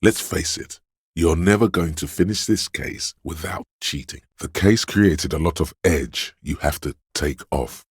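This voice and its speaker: A hedonistic inner voice from a video game, an extremely deep, heavily husky male tempter. He speaks slowly, savoring each word as he coaxes and goads with mocking, seductive relish. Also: no room sound, studio quality, exaggerated voice. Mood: sly, enticing. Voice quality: husky, gravelly bass. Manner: slow, coaxing, savoring words, mocking. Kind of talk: dramatic second-person game narration.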